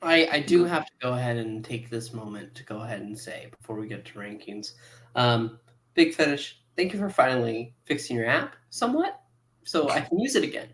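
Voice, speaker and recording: A man hosting and moderating a casual chat show in a halting, informal manner. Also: mid-range voice, big pitch swings, fairly bright tone, a little hiss or hum.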